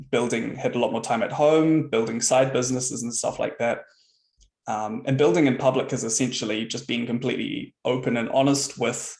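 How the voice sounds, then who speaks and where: A man with a New Zealand accent giving a relaxed, conversational talk as guest speaker at an online meetup, on a video call. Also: mid-range voice, vocal fry, reverberant, poor audio. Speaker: guest speaker, software founder.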